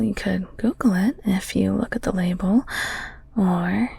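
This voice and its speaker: Woman playing a husky, creaky-voiced flirty ghoul chef. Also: medium pitch, dry recording, heavily acted. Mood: awkward, intimate. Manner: soft, breathy, halting, teasing. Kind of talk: intimate audio roleplay, spoken to the listener.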